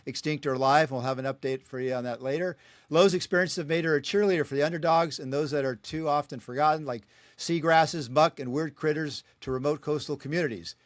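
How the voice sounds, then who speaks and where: A man's voice: on the high side, no echo. A man hosting a podcast, reading his guest's introduction and joking about names he can't pronounce. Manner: friendly, chuckles at his own asides. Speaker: podcast host. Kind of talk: reading a guest introduction, then casual interview.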